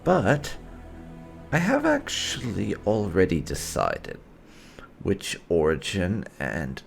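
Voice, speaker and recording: A man's voice: low-pitched, wildly swooping pitch, slow pace, creaky, soft background music. A man hosting a gaming video with casual, explanatory playthrough commentary, wandering into emphatic asides.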